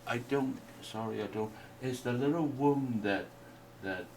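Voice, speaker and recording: A man whose first language is Mandarin, teaching a painting workshop in a halting way with many restarts. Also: mid-range voice, darkish tone. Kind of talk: hesitant workshop explanation. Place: indoor workshop room. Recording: distant mic with faint noise.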